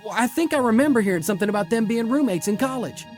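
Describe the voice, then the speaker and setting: A man's voice: very high-pitched, expressive, fast talker, soft background music. A man playing an anxious, insistent husband in an audio drama, pressing and impatient. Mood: impatient, surprised. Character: anxious, insistent expectant father seeking answers.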